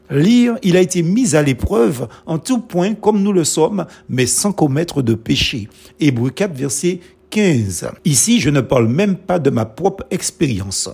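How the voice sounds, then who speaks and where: A man whose first language is a Creole, preaching a sermon in short, measured, emphatic phrases. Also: fairly high pitch, a little mellow, husky.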